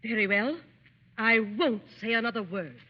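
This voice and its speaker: A woman's voice: fairly high pitch, normal speed, a little hiss or hum, close to an everyday voice. An actress playing an elderly, meddling mother-in-law in an old radio drama, prickly and self-pitying. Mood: martyred, indignant. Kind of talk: 1940s radio drama acting. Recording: muffled old radio broadcast.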